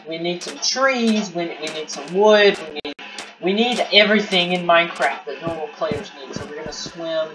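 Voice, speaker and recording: A man giving casual gaming commentary, excitable and rambling, often repeating words and restarting his sentences. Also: on the high side. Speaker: gameplay commentator. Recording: distant mic with noisy background.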